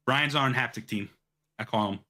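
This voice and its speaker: Bright-voiced man chatting casually about games. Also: medium pitch, moderate pace. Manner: informal, swearing, eager. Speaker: podcast host. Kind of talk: casual gaming podcast chat.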